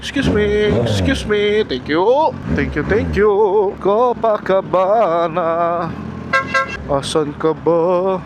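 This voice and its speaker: A man's voice: high-pitched, big pitch swings, takes it slowly. A Filipino man chatting casually and playfully as a motovlog host out on the road, mixing in English phrases. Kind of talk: casual vlog chat. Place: outdoors, riding a motorbike on the road. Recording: noisy outdoor road and vehicle noise. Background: traffic and vehicle noise.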